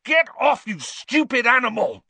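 Audiobook role: aggrieved, quick-tempered teenage schoolboy, played by a man, very animated and indignant. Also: lowish voice, no echo, full character voice. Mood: furious, yelling. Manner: loud, indignant outbursts.

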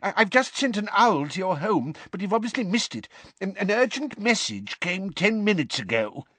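A flustered old wizard clerk with a thin, high, fluttery voice that stammers over itself, as a male narrator puts him on. He is timid and desperate, and his pitch jumps widely. The reading is close and dry, with no room sound. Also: sing-song, moderate pace, sharp tone, no echo, heavily acted.

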